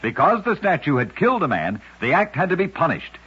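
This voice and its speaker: Husky, animated old-time radio storyteller man. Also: a little high, brisk. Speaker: radio host and narrator of oddities. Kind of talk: scripted old-time radio narration of strange true tales. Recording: dull lo-fi vintage radio recording.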